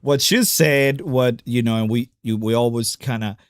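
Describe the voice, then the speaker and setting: A man's voice: medium pitch, normal speed, dry recording, clean studio sound. A man from Costa Rica co-hosting a casual crypto podcast, upbeat and chatty. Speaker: podcast co-host. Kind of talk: casual chat.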